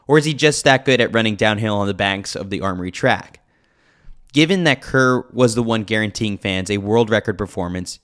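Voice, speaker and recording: A man's voice: mid-range voice, a bit bright. A man reading a scripted sports newsletter with a lightly wry, joking manner. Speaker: newsletter reader, podcast host.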